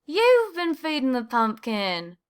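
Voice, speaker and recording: An eager young field mouse from a children's storybook, voiced by a woman, speaks in a high, friendly voice. His lines are emphatic and expressive. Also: takes it slowly, clean tone, exaggerated voice. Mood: surprised.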